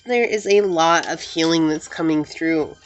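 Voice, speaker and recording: Soft-spoken tarot reader, a woman with a low voice. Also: measured pace, highs cut off. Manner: gentle, reassuring, speaks in affirmations to the viewer. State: slightly congested, recovering from a cold. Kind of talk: pick-a-card tarot reading to camera.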